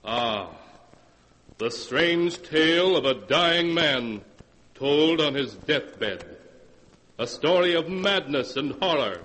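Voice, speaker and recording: Male announcer, 1940s radio horror narration, slow drawn-out phrasing, slightly high pitch, solemn, theatrical, ominous. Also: lively intonation, fairly bright tone, big-room sound, poor audio.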